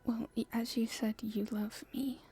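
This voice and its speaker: Woman acting a breathy, whispering young princess. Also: on the high side, little pitch movement, a little slow, mellow. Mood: tender, earnest. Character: playful young princess, tender, teasing, secretly in love. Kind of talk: intimate ASMR romance roleplay to the listener.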